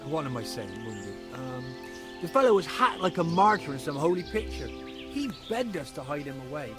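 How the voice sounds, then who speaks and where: An actor playing a sarcastic, evasive charcoal burner in a video game, defensive and easily rattled. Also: male voice, on the high side, big pitch swings, normal speed, over music. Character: cocky defensive male charcoal burner, adult.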